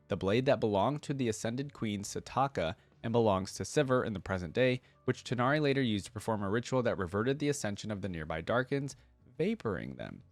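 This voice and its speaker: A man's voice: medium pitch, dry recording. A man hosting a reaction video, casually reading aloud and commenting as he thinks things through.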